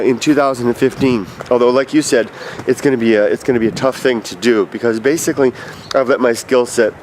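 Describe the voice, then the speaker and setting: Stuffed-up fifties man, casual hesitant vlogger, recorded outdoors with clear traffic noise. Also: medium pitch. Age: 50s. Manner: rambling, halting, with many fillers and restarts. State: stuffed up with allergies. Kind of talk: casual video message. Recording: noisy outdoor street recording.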